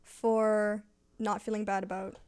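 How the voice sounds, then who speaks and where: A woman's voice: medium pitch, level tone, a little slow, a little mellow, clear, resonant tone, no echo, studio quality. A woman hosting a casual, conversational book chat in an agreeable manner. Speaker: host.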